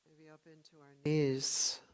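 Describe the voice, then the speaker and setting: A woman meditation teacher leading a guided meditation in a gentle, unhurried way, leaving long pauses between short phrases. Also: deep voice, little pitch movement, smooth. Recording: long hall reverb.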